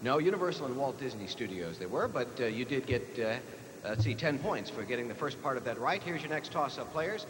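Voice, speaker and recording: A man's voice: medium pitch, animated, brisk. A man with an American accent hosting a live quiz show, reading out questions to the players. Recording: echoey hall, noisy old TV broadcast.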